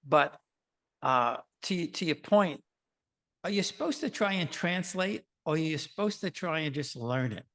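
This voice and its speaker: A man's voice: a little high, animated, a bit bright. A man asking questions in a casual interview, often restarting his sentences. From Queens, New York. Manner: halting, with many restarts. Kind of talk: conversational interview.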